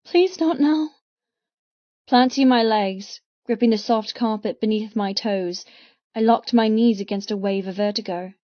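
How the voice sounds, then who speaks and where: A woman reading dramatic first-person audiobook narration in an intense, deliberate manner. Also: mid-range voice, steady tone, no room sound, lo-fi sound.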